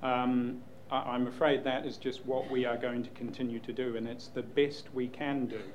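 Measured man fielding press questions. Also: medium pitch, flat delivery, dull audio, a little hiss or hum. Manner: measured, hesitant with many ums. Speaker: news executive answering questions. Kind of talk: Q&A panel talk.

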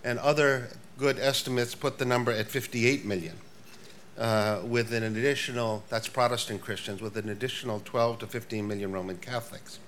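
An older man, a priest and professor, giving a prepared talk in a measured way with dry asides. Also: medium pitch, steady tone, a bit bright, slight background noise. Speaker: guest speaker. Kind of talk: prepared lecture.

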